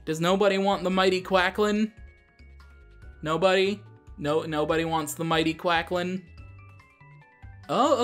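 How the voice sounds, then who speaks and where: A man's voice: fairly high pitch, a bit bright. A man doing casual, chatty gameplay commentary as the host, often poking fun at himself. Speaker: gaming show host. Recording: music behind the voice. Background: video game music.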